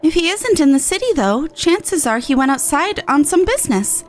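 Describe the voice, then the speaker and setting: A woman's voice: high-pitched, sing-song, normal speed, a little hiss or hum, voice pushed up. A woman voicing an earnest, proper young woman in a fantasy game, formal and polite.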